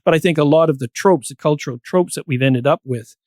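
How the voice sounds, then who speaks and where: A man's voice: on the high side, fast, darkish tone, no echo, clean studio sound. A man speaking as a panel guest, explaining ideas in a discursive, professorial way with examples. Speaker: podcast guest.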